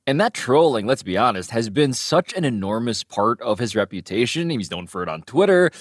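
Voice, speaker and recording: A man hosting a podcast, asking measured, leading questions. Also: mid-range voice, sing-song, no room sound, clean studio sound. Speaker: podcast host. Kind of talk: interview questions.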